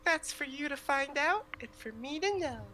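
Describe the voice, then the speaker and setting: A woman playing a tipsy, wistful oni village trader in a tabletop game, halting and rambling. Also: high-pitched, lively intonation, pushed and bright, pitched above the performer's own voice. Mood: coy, proud. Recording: clear background noise.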